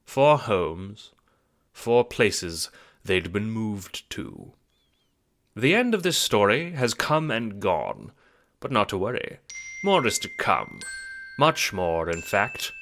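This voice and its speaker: A man's voice: on the low side, big pitch swings, slow pace, fairly bright tone. A man narrating a scripted audio drama in a theatrical, wry, rhetorical storytelling style. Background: music.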